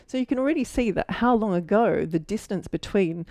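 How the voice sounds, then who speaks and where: A woman's voice: medium pitch, lively intonation, fairly quick, darkish tone, no echo, clean studio sound. An Australian woman in her forties, an architect, telling her story casually with many fillers and self-corrections. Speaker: architect and mentor.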